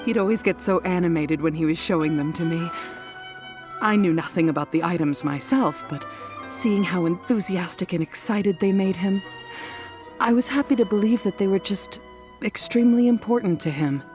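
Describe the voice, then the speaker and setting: Actress as worried tired wife in a game, earnest n sad, lowish voice, music playing behind. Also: female voice, moderate pace, close to an everyday voice. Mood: sorrowful, weary. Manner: earnest, sighing, wistful storytelling. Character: worried, weary wife and mother, earnest, sorrowful. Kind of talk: video game dialogue acting.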